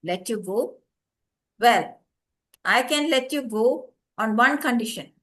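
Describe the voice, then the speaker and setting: A woman doing a stern, measured judge. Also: on the high side, expressive, fairly bright tone. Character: stern unmerciful town judge, a man.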